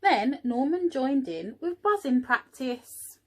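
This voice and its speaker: Slow, animated woman reading storytime. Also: sits high. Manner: encouraging, child-directed, asking questions. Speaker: early years teaching assistant. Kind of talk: storytime read aloud for young children. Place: at home.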